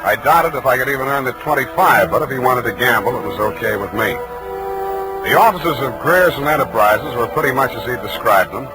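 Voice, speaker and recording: An American actor playing a wry, unflappable private detective in a 1940s radio drama, with dry, clipped, wisecracking delivery. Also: male voice, mid-range voice, animated, brisk, natural voice, poor audio. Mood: wry narration. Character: wry, unflappable male private detective narrating his case. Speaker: radio drama lead and narrator. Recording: 1940s radio broadcast with music.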